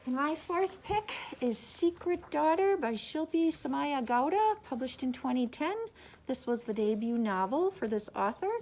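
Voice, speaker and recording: A woman with a mid-range voice presents books as a librarian. She reads from prepared summaries in a measured, deliberate manner. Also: high voice, lively intonation, a little mellow, a little hiss or hum, poor audio. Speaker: librarian presenting book picks. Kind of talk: scripted book summaries read aloud.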